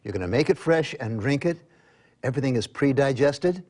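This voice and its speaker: Lively older man with animated pitch. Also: a little fast. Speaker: guest juicing advocate. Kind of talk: infomercial talk-show explanation.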